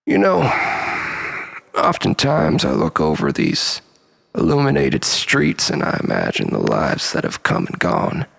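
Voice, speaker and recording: A man performing a theatrical first-person monologue as a storyteller, melodramatic, swinging between exclamation and breathy hush. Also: very deep voice, huge pitch swings, measured pace, low-quality recording.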